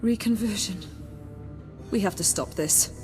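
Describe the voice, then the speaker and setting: A woman's voice: mid-range voice, measured pace, lightly acted. A woman playing a defiant young memory hunter in a video game, intense and emotional, in terse phrases. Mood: shaken, determined. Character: defiant, driven young female memory hunter, impulsive rebel. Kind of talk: dramatic video game acting. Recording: loud music over the voice.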